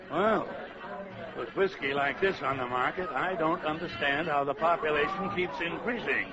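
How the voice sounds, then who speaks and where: Old radio show crook, highish voice, wordy n wry shady safecracker, dull lofi sound w crowd. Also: male voice. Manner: affectedly wordy, drawn-out, hesitant phrasing. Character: shady, wry, genteel-talking male safecracker. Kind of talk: 1940s radio crime drama acting. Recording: muffled 1940s radio, loud music and crowd.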